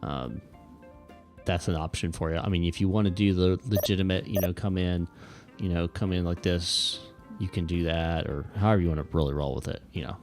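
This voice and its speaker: A man's voice: sits low, fairly quick, a little mellow, soft background music. A man hosting a livestream as an air traffic controller, chatting and explaining in a laid-back, informal way, with some radio phraseology.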